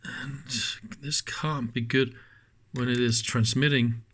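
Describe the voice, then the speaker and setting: Slow-paced, musing man. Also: mid-range voice, fairly even intonation, fairly bright tone, slightly rough. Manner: musing, halting, trailing off mid-thought. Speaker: electronics teardown host. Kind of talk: casual unscripted hands-on commentary. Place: indoors at a workbench.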